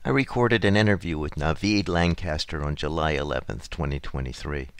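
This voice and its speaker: A man hosting an interview podcast, reading a formal introduction in a measured way and asking questions. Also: very deep voice, no room sound. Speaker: podcast host. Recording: dry close sound.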